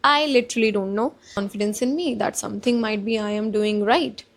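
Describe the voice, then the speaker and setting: Young indian woman, calm polite soft voice, kinda high, chatty vlog reflecting. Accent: Indian English. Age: young adult. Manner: mixes Hindi and English. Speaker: vlogger. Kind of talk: casual reflective vlog talk.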